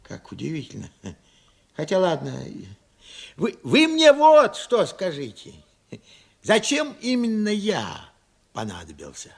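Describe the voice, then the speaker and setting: Radio play: forgetful, wistful man in his late seventies, gravelly, slow, searching delivery. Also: sits high, wildly swooping pitch, exaggerated voice. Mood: wondering, insistent. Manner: halting, musing, swinging from murmurs to outbursts. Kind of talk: Soviet radio play acting.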